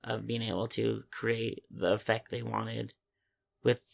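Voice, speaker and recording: A podcast co-host casually discussing films in a halting way, often searching for words. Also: medium pitch, flat delivery, vocal fry.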